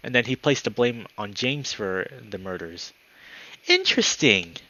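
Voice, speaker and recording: Low-voiced man reading a game aloud. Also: normal speed, fairly bright tone, low-quality recording. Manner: stumbles over read lines and self-corrects. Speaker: gaming video host. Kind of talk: reading a visual novel aloud with commentary.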